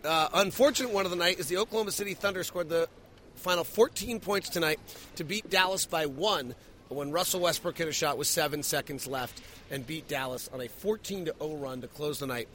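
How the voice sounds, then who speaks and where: A man's voice: on the high side, fairly quick, pushed and bright, a little hiss or hum. A man hosting a sports post-game podcast, breaking down the night's play as a broadcaster would. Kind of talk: post-game analysis and commentary.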